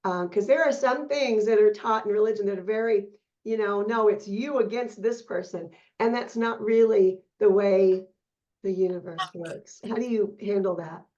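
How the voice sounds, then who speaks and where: A woman's voice: mid-range voice, moderate pace, mellow. A woman hosting an interview who affirms her guest and asks reflective questions. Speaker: podcast host. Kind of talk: casual interview conversation. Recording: dull, lo-fi audio.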